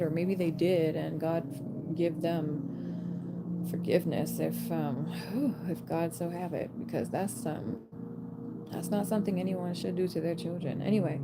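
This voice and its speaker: Chatty woman over loud music. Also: lowish voice. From American. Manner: chatty, laughing asides, self-interrupting. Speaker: tarot reader. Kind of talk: intuitive reading to camera.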